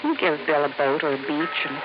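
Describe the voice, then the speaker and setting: A woman's voice: deep voice, fairly even intonation, fairly quick, over music, close to an everyday voice. An American woman acting an earnest, troubled young woman with a hesitant manner in a 1950s radio drama. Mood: confessing, anxious. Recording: dull, hissy old radio recording.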